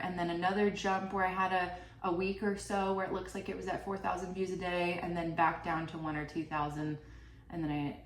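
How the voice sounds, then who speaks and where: A young woman vlogging casually about her channel earnings, chatty and full of "like", sounding stuffed up from a cold. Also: on the low side. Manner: chatty, peppered with "like" and "you know". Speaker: YouTuber sharing channel earnings. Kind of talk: casual talking-head vlog. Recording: roomy, slightly distant mic, faint background hiss.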